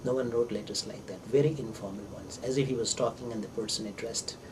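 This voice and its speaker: An older man with an Indian accent and Urdu as his first language, giving an informal literary lecture in deliberate phrases with hesitations and repetitions. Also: lowish voice, steady tone. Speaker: English professor, visiting scholar. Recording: distant microphone with clear background noise.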